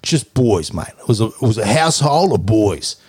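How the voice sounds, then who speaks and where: A man doing a loudmouthed middle-aged Aussie tradesman and father. He rambles, boasts and swears through every line in a low, Australian-accented voice. The sound is close and dry, with no room around it. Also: big pitch swings, moderate pace, husky, dry recording. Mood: boastful. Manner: swears constantly, brags, rambles with lots of fillers. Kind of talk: comedy podcast character interview.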